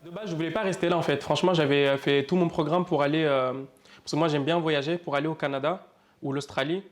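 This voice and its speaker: A man's voice: a little high, fairly even intonation, fast talker. A man in his twenties from Senegal who runs a food business, talking casually and chattily in a documentary interview with plenty of fillers. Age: 20s. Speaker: interviewee, cook and business owner.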